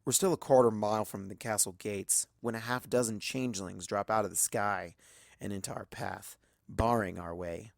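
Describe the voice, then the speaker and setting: Low, creaky male story narrator. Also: steady tone, moderate pace, no room sound, studio quality. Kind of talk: dramatic first-person audiobook narration.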